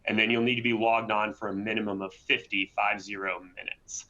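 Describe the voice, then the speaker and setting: A man with a fairly low but bright, forceful voice hosts a webinar. He talks in a conversational way and often tags on "right?". The audio is dull and lo-fi. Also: level tone, a little fast. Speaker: webinar host and presenter. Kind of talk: webinar presentation.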